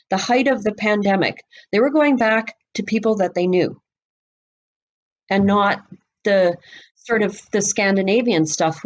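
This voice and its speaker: A woman speaking as an expert guest in a podcast interview, explaining things in an emphatic, conversational way. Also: medium pitch.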